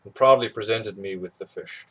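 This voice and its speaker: Husky low-voiced man reading aloud. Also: normal speed, low-quality recording. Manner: plain, steady storytelling. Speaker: audiobook reader.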